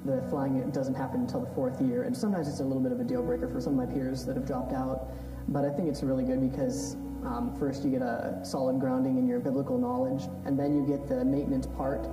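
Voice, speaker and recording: A man's voice: mid-range voice, steady tone, fast talker, few pauses. A young man from Washington State, an aviation student, talking earnestly with some fillers. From Washington State, USA. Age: young adult. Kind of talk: documentary interview. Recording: roomy, distant, under music.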